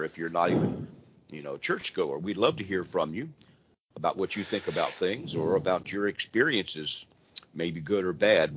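A hoarse man with laryngitis hosts a live call-in radio show, talking in a casual, rambling way with frequent restarts. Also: deep voice, a bit bright. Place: church. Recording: dull lo-fi radio audio with faint noise.

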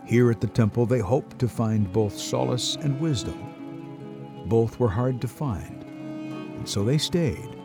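A man's voice: lowish voice, gravelly. A man narrating a scripted dramatic story as a storyteller. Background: music.

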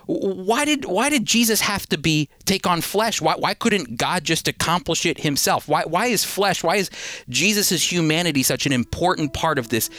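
A man's voice: a little high, pushed and bright. A man teaching the Bible on a radio show, earnest and emphatic, repeating words as he drives a point home.

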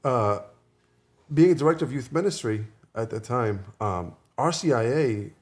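Mid-pitched man telling casual anecdotes. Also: expressive, moderate pace. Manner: informal, restates phrases, quotes dialogue. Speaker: podcast guest. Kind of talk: casual chat, animated anecdotes.

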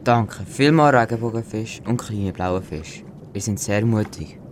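A young performer plays a grateful doctor fish in an underwater radio play for a school. The voice sits far below the other fish, with a rumbly, watery noise behind it. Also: measured pace, natural voice.

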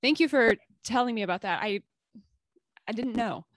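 Gentle Midwestern woman interviewer, dry sound. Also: on the high side, expressive, moderate pace, dry recording. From American Midwest. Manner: gentle, attentive, many soft acknowledgments.

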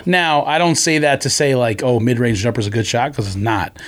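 Dude on a podcast, medium pitch, blunt, teases his buddies, super casual talk. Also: steady tone, fairly quick. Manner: blunt, teasing. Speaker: podcast co-host. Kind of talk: casual banter.

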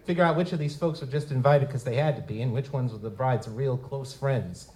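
A man's voice: mid-range voice, fairly quick, a little mellow, close to an everyday voice. A man playing a wry, streetwise goblin-like private investigator in a noir audio drama, speaking in casual slang. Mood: brisk, businesslike. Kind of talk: live audio drama dialogue and first-person narration. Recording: noticeable background noise.

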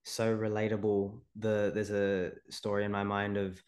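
Low-voiced upbeat male podcast host. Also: monotone, moderate pace, a little mellow. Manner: upbeat, encouraging. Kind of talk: conversational podcast interview.

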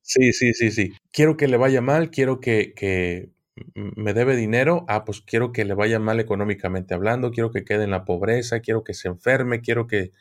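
A man, a guest expert on the occult, explaining things in a deliberate, unhurried way with frequent restarts. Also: on the low side. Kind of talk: explanatory conversational talk.

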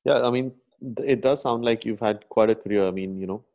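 Relaxed, conversational man as host. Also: on the low side, fairly quick, vocal fry. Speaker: podcast host. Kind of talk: podcast interview hosting.

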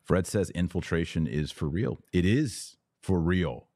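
American guy podcaster, low voice, preachy and emphatic, repeats himself for effect, dry close sound. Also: expressive, dark tone, dry recording. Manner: emphatic, preachy, with deliberate pauses and repetition. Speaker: podcast host. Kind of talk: religious commentary, speaking off the cuff to listeners.

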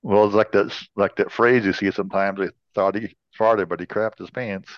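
A man co-hosting a casual comedy chat, telling jokes with slow punchlines and repeating himself. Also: on the low side, fairly even intonation, dry recording, muffled recording. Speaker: podcast co-host.

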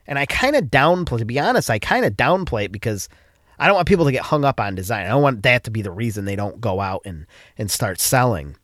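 A man's voice: medium pitch, big pitch swings, fast, a bit bright, no echo, studio quality. A man with a stuffed-up nose from a cold, hosting a casual solo podcast and rambling with frequent restarts. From upstate New York. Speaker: podcast host.

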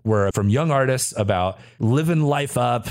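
A man in his thirties with a very deep voice, telling enthusiastic stories in a casual podcast chat. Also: normal speed. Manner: enthusiastic storytelling, animated recollections. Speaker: podcast co-host.

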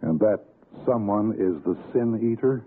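Low, husky older husband. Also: male voice, a little slow. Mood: skeptical. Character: composed, indignant older city husband, protective and skeptical. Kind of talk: 1960s radio suspense acting. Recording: tinny, lo-fi vintage radio recording.

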